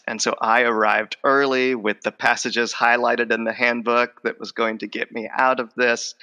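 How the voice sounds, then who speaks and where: A man's voice: on the low side, sharp tone. A man in his forties, a speech professor who stutters, repeating words and restarting as he answers interview questions. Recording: long hall reverb.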